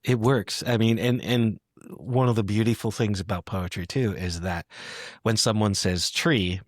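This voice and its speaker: Low-pitched man hosting a poetry podcast, dry sound. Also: fairly even intonation, measured pace, no room sound. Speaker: podcast host. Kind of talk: casual literary discussion.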